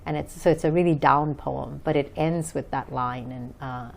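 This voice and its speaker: A woman's voice: very deep voice, fairly even intonation, moderate pace, creaky. A woman from Bangladesh answering conversationally as an interview guest, hesitant as she searches for words. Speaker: interview guest, author and English teacher.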